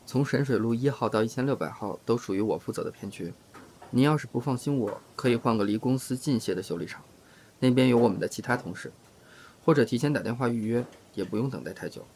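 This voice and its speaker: A man's voice: mid-range voice, fast talker, darkish tone, a little hiss or hum, close to an everyday voice. A man playing a reserved, aloof young insurance adjuster with a restrained, formal manner in an audio drama. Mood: polite, firm. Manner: restrained, formal, matter-of-fact.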